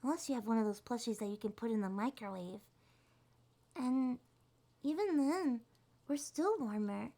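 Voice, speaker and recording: Woman voicing a soft, high-pitched shy succubus girl. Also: animated, normal speed, pauses often. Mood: shy, gentle. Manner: hesitant, gentle, slightly stammering. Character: shy, sweet young female succubus monster girl. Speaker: ASMR roleplay performer. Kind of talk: ASMR roleplay, soft and intimate.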